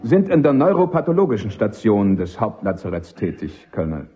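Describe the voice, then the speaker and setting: An actor playing a principled, stubborn army captain and defence lawyer in a radio drama, precise, insistent and formally respectful. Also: male voice, on the low side, very animated, brisk, warm tone. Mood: insistent, probing. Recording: dull, muffled old radio recording.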